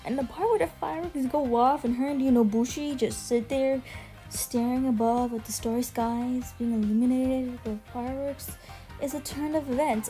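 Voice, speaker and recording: A young woman with a fairly high voice and a slightly soft, mellow tone records a casual commentary voice-over. She talks like an enthusiastic fan, full of slang and casual swearing, with music playing behind her. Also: poor audio. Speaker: video essay host.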